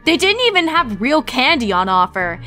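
A woman's voice: high-pitched, sing-song, moderate pace, bright, forceful tone. Woman narrating a story over music. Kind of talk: scripted fan fiction story reading. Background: background music.

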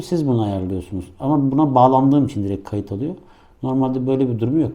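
A man with a very dark, muffled, mellow voice at a medium pitch talks through a gadget review. He explains each step informally, making it up as he goes. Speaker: product reviewer. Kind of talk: casual hands-on gadget review. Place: indoor room.

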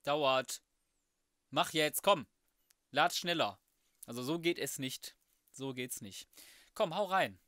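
A man's voice: a little high, expressive, takes it slowly, pauses often, fairly bright tone, no echo, clean studio sound. A German man giving casual let's play commentary, talking to himself in a slangy, crude, jokey way. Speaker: gaming YouTuber, host.

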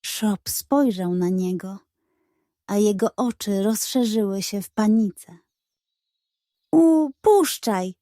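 Female storyteller for children's detective tales: unhurried, soft-toned, suspenseful, recorded dry. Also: mid-range voice, fairly even intonation, no room sound.

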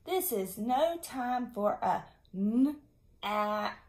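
A woman reading as an animated, earnest little boy. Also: a little high, very slow. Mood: insistent. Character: earnest, persistent little boy teaching his cats. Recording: echoey room.

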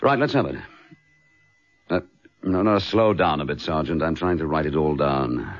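An actor playing a brisk, courteous British chief inspector in a radio drama, with a husky voice. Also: male voice, a bass voice, lively intonation. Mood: brisk, businesslike. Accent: British English. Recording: dull lo-fi radio drama recording.

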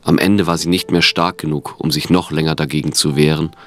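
A man's voice: very deep voice, steady tone, a little fast, fairly bright tone. A man reading scripted narration for an audio drama.

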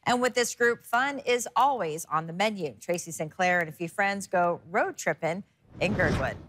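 A woman's voice: on the low side, animated, moderate pace, fairly bright tone. A middle-aged American woman reading a scripted introduction as a television news anchor in a studio.

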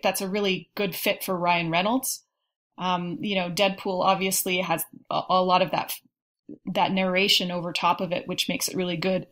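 A woman's voice: medium pitch, fairly bright tone. Woman chatting thoughtfully on a panel. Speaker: podcast guest. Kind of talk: casual panel discussion about films.

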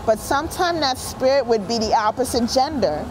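A woman's voice: on the high side, lively intonation, moderate pace, background noise. A woman in her forties, a native Haitian Creole speaker, talks earnestly and reflectively in a documentary interview on a city sidewalk. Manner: reflective, earnest, gesturing with hands. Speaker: performance artist. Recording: music bed under the voice.

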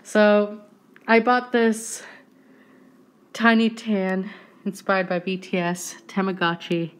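A woman's voice: mid-range voice, slow pace, smooth. A woman in her thirties chatting casually on her vlog with a stuffy nose, talking into a phone camera at arm's length in a small bedroom. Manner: chatty and excitable, laughs often. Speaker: YouTuber.

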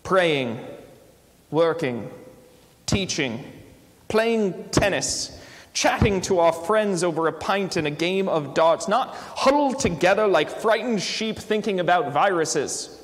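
A man in a church doing a dramatic reading as an eloquent, stoical British man of letters, with a put-on British accent and emphatic, measured delivery. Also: high-pitched, animated. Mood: stirring, firm. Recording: reverberant church hall.

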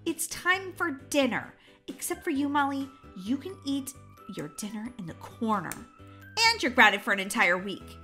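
A woman's voice: sits high, big pitch swings, normal speed, sharp tone, faint music behind, heavily acted. A woman voicing a firm, exasperated mother who chides her children in a kids' game roleplay. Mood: stern.

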